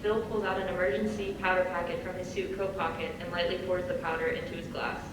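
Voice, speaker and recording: A woman reads scripted stage directions in a lowish voice, recorded from far off in a big, ringing hall. Clear noise runs under her narration. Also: little pitch movement, quick pace. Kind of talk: scripted narration of a screenplay at a table read. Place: large reverberant room, recorded from afar.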